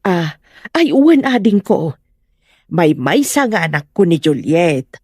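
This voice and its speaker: A woman with a northern Philippine accent playing a proud, wounded mother from a village in an audio drama, plaintive and measured. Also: fairly low pitch, sing-song, a little mellow, slightly rough, dry recording, studio quality, exaggerated voice. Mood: weary, guarded.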